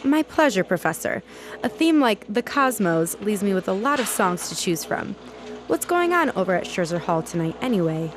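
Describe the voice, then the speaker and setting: A woman's voice: mid-range voice, very animated, moderate pace, natural voice. A woman playing a polite, chatty host of a local evening radio show in a radio play. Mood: welcoming. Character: friendly female local radio host on Halloween night.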